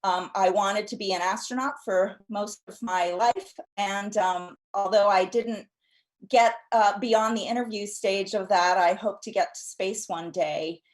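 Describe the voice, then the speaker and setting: A woman with a mid-range voice introduces herself as a geologist on a panel. She speaks with frequent pauses and restarts. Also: mid-range voice, fairly even intonation, poor audio. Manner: hesitant, many restarts.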